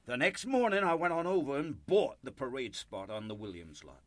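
A man acts out an older small-town believer in a radio drama, nervous and full of zeal. His pitch is very animated, sliding up and down as he rambles in folksy, pious phrases. The sound is dry, with little room around it. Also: moderate pace, no echo, lightly acted. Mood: fervent. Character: earnest, folksy older born-again businessman, nervous and zealous. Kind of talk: radio play acting.

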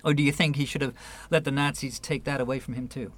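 An actor in a radio play voicing a guarded, wounded New York man with a measured, wry delivery. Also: medium pitch, steady tone, close to an everyday voice. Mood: defensive.

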